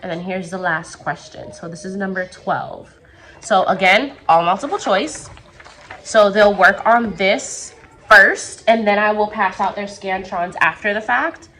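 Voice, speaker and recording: A young woman teacher talking casually to the camera from her classroom, her voice a little raspy as it recovers, chatty and full of quick asides. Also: medium pitch, a bit bright. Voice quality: slightly raspy. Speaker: second grade teacher.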